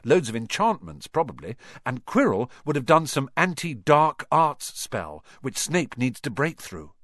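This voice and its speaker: A man's voice: mid-range voice, wildly swooping pitch, unhurried, a bit bright, dry recording, studio quality, pitched above the performer's own voice, heavily acted. A man voicing a breathless boy who talks urgently.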